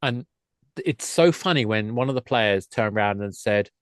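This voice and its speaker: A man's voice: lowish voice, expressive, normal speed, dry recording. Man chatting enthusiastically, close dry sound. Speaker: podcast guest, games expert. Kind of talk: casual conversational explanation.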